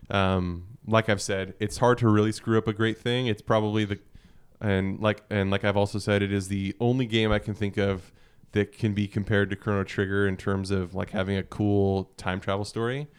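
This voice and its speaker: A man in casual conversation about video games as a podcast panelist, explaining things in a halting, thinking-aloud way. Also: fairly low pitch, level tone, smooth.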